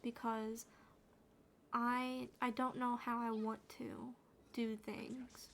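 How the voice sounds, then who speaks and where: A soft-spoken woman chats in a hushed, soothing voice, rambling and restarting as she plays a game. Her pitch sits fairly high but barely moves. A faint hiss of noise runs under the close mic. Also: level tone, takes it slowly, gravelly. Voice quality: naturally low, quiet and soothing. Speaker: gaming streamer. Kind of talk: soft-spoken gaming chat.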